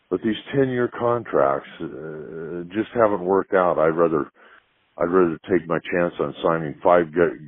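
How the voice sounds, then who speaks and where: A man leaving a halting, plain-spoken voicemail with his opinion on sports. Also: low voice, husky, no room sound. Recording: muffled narrowband phone voicemail.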